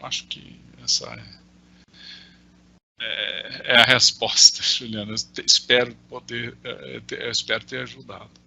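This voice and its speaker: Man, 60s, Brazilian Portuguese accent, bright tense tone, hesitant, explains with examples, video call. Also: mid-range voice, little pitch movement, measured pace, creaky, husky, rough recording. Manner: hesitant, many fillers, explains with worked examples. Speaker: invited market analyst answering questions. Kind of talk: explanatory talk in a virtual Q&A.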